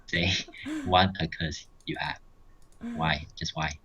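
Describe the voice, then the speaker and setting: A man telling a casual anecdote in a halting, repetitive, rambling way. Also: a bass voice, sing-song, a bit bright, slightly rough. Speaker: guest storyteller. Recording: noisy home mic, clicking and typing. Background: clicking, keyboard typing, background noise.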